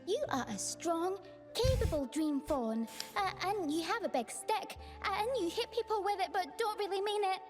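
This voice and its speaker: A woman's voice: very high-pitched, animated, normal speed. A woman voicing a shy, anxious young female dream fawn in a video game, sweet and wistful, with a timid, hesitant, apologetic way of speaking. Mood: shy, nervous. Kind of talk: video game character voicelines. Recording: game voice clips over music.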